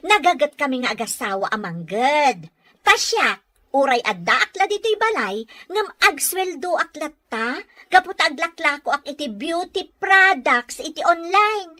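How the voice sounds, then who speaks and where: Audio drama role: proud village housewife played by a woman, Ilocano accent, high, bright, sharp-tongued and brisk. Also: no echo, clean studio sound, exaggerated voice. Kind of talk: Filipino audio drama dialogue.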